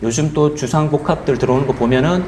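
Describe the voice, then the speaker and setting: A man's voice: a little high, fast, darkish tone. A man speaking as a leasing director, explaining a property in polite, formal conversational sales talk. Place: commercial building site and showroom. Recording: faint background noise on location.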